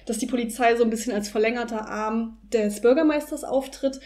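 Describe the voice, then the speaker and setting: A woman's voice: fairly high pitch, fairly quick, darkish tone. A woman co-hosting a podcast in a casual, conversational discussion. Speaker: podcast co-host.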